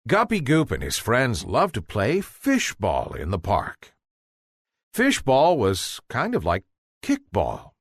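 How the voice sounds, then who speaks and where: A man's voice: medium pitch, huge pitch swings, slow pace, vocal fry, no room sound, studio quality. A man narrating a children's bedtime story in a theatrical way, drawing out words for emphasis.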